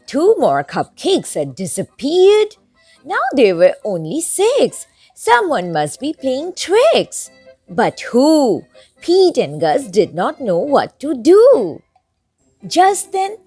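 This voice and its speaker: A woman reading a children's picture book aloud in an expressive, sing-song storytelling way over background music. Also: sits high, husky. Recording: music bed under the voice.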